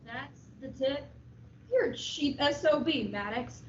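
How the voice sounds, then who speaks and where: High, distant, seedy informant played by a woman. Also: measured pace. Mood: bitter, threatening. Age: teens. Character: seedy, whiskey-soaked, spiteful male informant. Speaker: school radio drama actor. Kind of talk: comic crime radio-play acting. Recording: distant, echoey, dull, faintly noisy audio.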